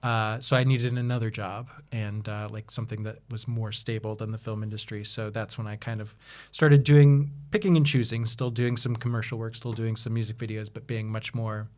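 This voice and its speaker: A man's voice: on the low side, fairly even intonation, normal speed, few pauses, a little mellow. Rambling, easygoing man. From United States. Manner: chatty, rambling with many restarts and fillers, laughs easily. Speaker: guest. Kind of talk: casual interview chat.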